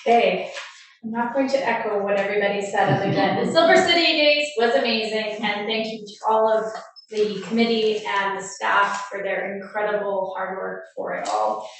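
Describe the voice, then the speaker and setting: A woman's voice: mid-range voice, lively intonation, normal speed. A woman gives a council report in a chatty, upbeat tone. She is distant from the mic in a very echoey room, with a faint hiss behind her. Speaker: city councillor.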